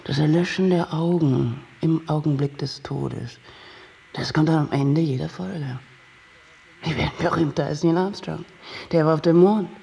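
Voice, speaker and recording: A slick, pushy TV producer in a radio drama. His voice sits fairly high for a man and crackles with fry, and he sells everything in grandiose, emphatic phrases. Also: a little slow, dark tone. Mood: grandiose pitching. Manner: grandiose sales-pitch talk, emphatic. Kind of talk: German radio play acting.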